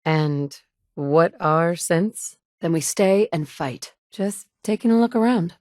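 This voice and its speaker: A woman's voice: fairly low pitch, animated, takes it slowly, darkish tone, no room sound, clean studio sound. An actress voicing a tough, blunt woman who survives the wasteland and leads others, delivering short game lines in a terse, firm way. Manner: terse and firm, often curt. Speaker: player character. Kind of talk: video game dialogue lines. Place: studio booth.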